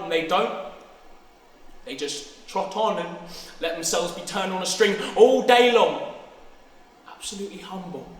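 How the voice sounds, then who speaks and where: Echoey, high-voiced intense British teenage boy, acted by a young man. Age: teens, about 16. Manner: intense, halting, swinging between hushed and explosive. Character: troubled, intense teenage boy obsessed with horses. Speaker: student actor. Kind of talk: dramatic stage monologue. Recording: very echoey hall, distant mic, faint noise.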